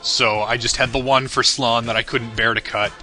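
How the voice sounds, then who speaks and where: A man's voice: medium pitch, fairly even intonation, normal speed, bright, forceful tone. A man giving casual, unscripted creator commentary on his parody series in a rambling, self-deprecating, chuckling way. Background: music and audio of the video being discussed.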